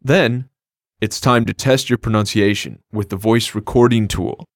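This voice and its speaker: A man's voice: lowish voice, steady tone, moderate pace, dry recording. Upbeat male language-lesson host. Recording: dry studio recording.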